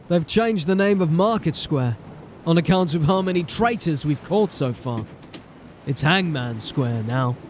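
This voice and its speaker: An actor playing a wry, resolute city watch sergeant in a fantasy audio drama. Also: male voice, a little high, slight background noise. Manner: commanding, wry, grimly determined. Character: gruff, resolute male city watch sergeant turned captain. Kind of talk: audio drama acting and first-person narration. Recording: music behind the voice. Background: music.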